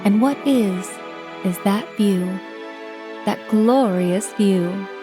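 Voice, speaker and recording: A woman's voice: mid-range voice, takes it slowly, close to an everyday voice. Dreamy 1950s telephone operator played by a woman over music. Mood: dreamy, wistful. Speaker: narrator. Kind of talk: poetic scripted narration.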